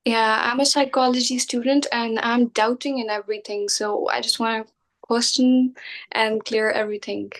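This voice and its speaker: A woman's voice: on the high side, fairly even intonation, normal speed, fairly bright tone. A nineteen-year-old woman from Belgium, a psychology student, answering briefly and a little hesitantly on a group call.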